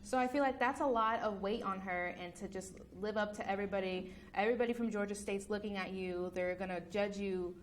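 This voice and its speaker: A woman's voice: medium pitch, brisk, hardly any pauses, a little hiss or hum. A young woman hosting a student news panel, engaged and affirming as she moves the discussion along. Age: young adult.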